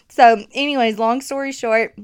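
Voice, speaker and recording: A woman's voice: sits high, smooth. A woman with a thick Southern accent hosting her own podcast, chatting casually with fillers and laughter into a new microphone. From Alabama. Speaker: podcast host.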